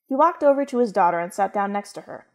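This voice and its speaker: A woman's voice: mid-range voice, animated, fast talker, darkish tone. Woman narrating a dramatic story.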